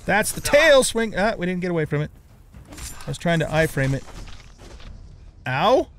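A middle-aged man with a somewhat high voice hosts a let's play. He comments casually and reacts as he goes, talking to himself and the game with some mild swearing. Some background noise sits behind his voice. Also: big pitch swings, moderate pace, fairly bright tone.

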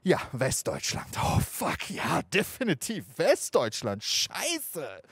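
Low, grumbling, deadpan tired parents, as a male comedian puts them on. The voice drops well below his normal register and stays flat. The tone sounds dry and fed up. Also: slow pace, gravelly, slightly rough, no echo, full character voice. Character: weary immigrant parents, grumbling adults.

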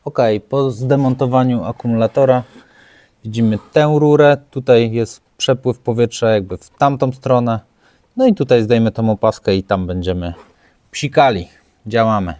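A man's voice: medium pitch, normal speed, darkish tone. Chatty man explaining car repair. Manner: chatty, frank, self-deprecating. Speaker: car mechanic vlogger. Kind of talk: casual how-to commentary. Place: open home garage, rain outside.

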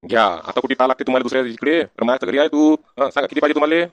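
Man playing a fast-talking, wily village husband. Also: medium pitch, expressive, no room sound, close to an everyday voice. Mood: wheedling. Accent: rural Maharashtra, Varhadi. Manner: wheedling, folksy, tacks questioning grunts onto sentences. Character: wily village husband, evasive debtor sweet-talking the shopkeeper. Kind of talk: comic cartoon dialogue in rural dialect.

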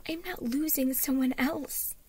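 A woman's voice: sits high, fairly even intonation, unhurried, darkish tone, pitched above the performer's own voice. A woman narrator voicing a blunt, wary, foul-mouthed woman, reluctantly protective, speaking in terse, urgent, half-whispered lines. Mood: tense, grave.

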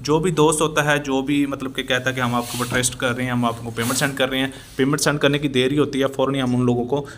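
A young man from Pakistan, filming himself on a phone at arm's length in a small workshop. He gives an earnest, repetitive sales pitch with many fillers. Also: fairly even intonation, fast talker, hardly any pauses, fairly bright tone, a little hiss or hum. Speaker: small business owner promoting machines. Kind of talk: selfie sales vlog. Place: small workshop and shop indoors. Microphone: phone held at arm's length.

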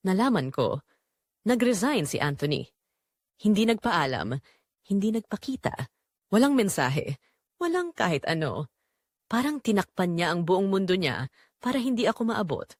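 A woman's voice: mid-range voice, no room sound, clean studio sound. A woman playing a remorseful, heartbroken wife who narrates her own story in an emotive, confessional way, as in an audio drama. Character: guilt-ridden wife Rosa, remorseful and heartbroken.

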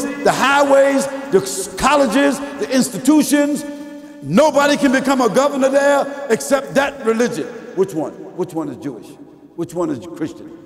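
An older man, giving a mosque lecture, firing rhetorical questions at the audience and swinging from loud to quiet. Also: fairly high pitch, gravelly, big-room sound. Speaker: Islamic preacher. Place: large mosque hall, seated in armchair. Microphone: stand mic and lapel mic.

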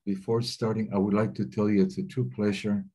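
A man giving a simultaneous interpretation of webinar talks, speaking in a steady, measured way with occasional hesitations. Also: fairly low pitch, slightly rough. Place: remote webinar.

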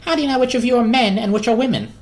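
Cocky, sociable male squirrel as a reader acts him out, high-pitched storybook character. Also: very fast, lightly acted. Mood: demanding.

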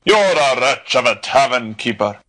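An actor playing a blustering, swaggering bully who barks imperious, insulting orders in a dramatised audiobook. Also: male voice, medium pitch, animated, moderate pace, crowd noise, close to an everyday voice. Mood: bullying, commanding.

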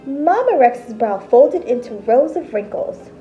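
A woman in her thirties reading a picture book aloud to children like a teacher, expressive and deliberate. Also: high voice, lo-fi sound, soft background music. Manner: animated, deliberate, explains terms simply. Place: small decorated room at home, indoors.

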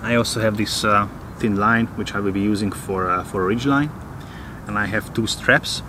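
Man, thirties, low voice, casual hesitant vlog talk, outdoors in woods, faint noise. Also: fairly even intonation, normal speed. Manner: hesitant, many filler uhs. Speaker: vlogger.

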